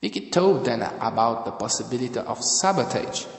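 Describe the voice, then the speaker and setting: A man reading scripted story narration in a halting way, stumbling over some words. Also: medium pitch, sing-song. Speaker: narrator and reader of a fan audio drama. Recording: echoey room with long reverb.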